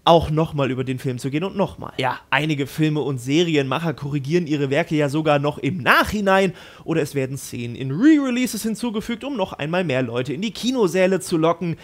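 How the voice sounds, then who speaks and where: High-voiced man presenting emphatically. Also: animated, fairly quick. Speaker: YouTube host on film news. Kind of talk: presenting a scripted video commentary to viewers.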